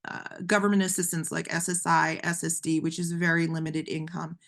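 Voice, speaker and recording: A woman gives a training webinar over a call, explaining things patiently in a somewhat low voice. She is a bit hoarse from losing her voice. Her talk is loose and conversational, full of ums and restarted phrases. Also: flat delivery, fairly quick, a bit bright. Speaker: webinar presenter.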